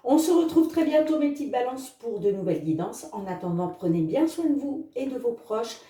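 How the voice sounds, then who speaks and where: A woman's voice: a little high, very animated, dark tone, roomy sound, recorded from a distance. A woman reading oracle cards for her viewers in a casual, chatty way, with many filler words. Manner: chatty, fills with "hein" and "les amis"; reads card messages slowly. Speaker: tarot card reader.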